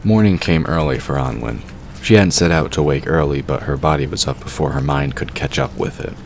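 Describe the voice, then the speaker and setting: A man's voice: a bass voice, brisk, creaky, a little hiss or hum. A man narrating a fantasy audio drama from a script.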